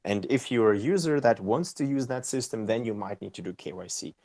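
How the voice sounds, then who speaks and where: A man's voice: medium pitch, expressive, brisk, darkish tone, no echo, clean studio sound. A man answering interview questions, explaining patiently and often checking with "right?". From Austria. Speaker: company co-founder and CEO, guest.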